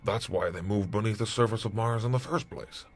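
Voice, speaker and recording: A man playing a blunt, callous military commander with a husky voice and clipped manner in a retro sci-fi radio drama. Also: lowish voice, fairly quick. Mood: grave. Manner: clipped, military, matter-of-fact. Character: blunt, callous male space-force commander.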